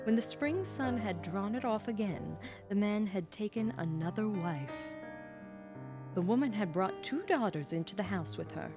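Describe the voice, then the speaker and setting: A woman's voice: mid-range voice, expressive, moderate pace. A woman hosting a livestream, speaking in a casual, rambling way as if thinking aloud. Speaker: livestream host, VTuber. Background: background music.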